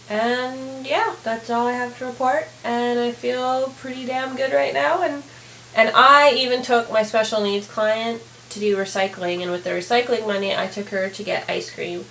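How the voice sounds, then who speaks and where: A woman with a hoarse, raspy voice giving a candid, rambling video diary update, full of fillers. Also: mid-range voice, a bit bright, reverberant, a little hiss or hum, low-quality recording. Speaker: vlogger.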